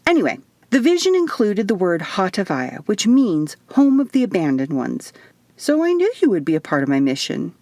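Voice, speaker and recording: A woman voicing a bubbly, excitable, naively earnest otherworldly woman in an audiobook, gushing and emphatic. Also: medium pitch, normal speed, no echo. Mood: excited recounting.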